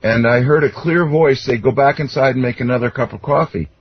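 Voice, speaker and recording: A middle-aged or older man talks as an interview guest, his voice husky and of medium pitch. He explains and tells personal stories earnestly, wandering as he goes. The audio is a little dull and lo-fi. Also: fairly quick. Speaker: interview guest, self-described contactee. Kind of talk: conversational personal storytelling.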